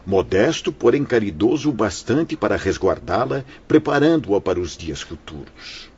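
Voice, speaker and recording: Ator como mentor espiritual grave e muito rouco. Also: male voice, on the low side, big pitch swings, darkish tone, slightly rough, highs cut off, full character voice. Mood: solemn, kindly. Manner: solemn, formal, measured. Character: wise, benevolent male spirit mentor narrating past events. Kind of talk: audio drama acting.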